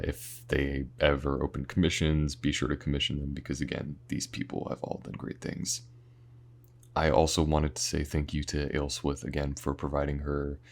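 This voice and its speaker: A man who creates audio series, talking informally and earnestly to his viewers in a casual, halting thank-you address. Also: a bass voice, darkish tone.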